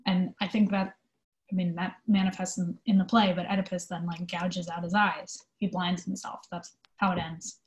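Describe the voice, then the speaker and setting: A woman answering interview questions in an informal, conversational way, explaining her work thoughtfully with pauses. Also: on the low side, level tone, rough recording. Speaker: theatre company manager being interviewed.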